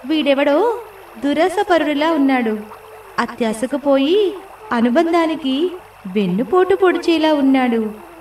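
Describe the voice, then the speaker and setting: A woman's voice: sits high, expressive, unhurried, long pauses, darkish tone, echoey room, far from the mic, slight background noise. A woman voicing a carefree, judgmental golden fish musing to itself in a children's storybook tale. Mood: disapproving, breezy.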